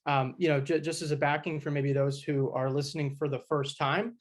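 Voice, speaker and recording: Relaxed man co-hosting a podcast. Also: fairly high pitch, brisk. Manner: relaxed, with many fillers. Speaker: podcast co-host.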